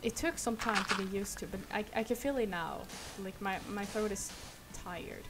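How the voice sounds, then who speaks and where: A woman's voice: medium pitch, normal speed, loud music behind the voice. Young Swedish woman with a sore throat. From Sweden. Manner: rambling, soft, laughs often. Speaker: streamer. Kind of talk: casual chat while gaming. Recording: loud noise behind the voice.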